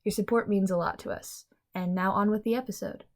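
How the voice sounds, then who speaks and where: A woman's voice: mid-range voice, steady tone, a little fast. A woman reading scripted thanks as a podcast announcer.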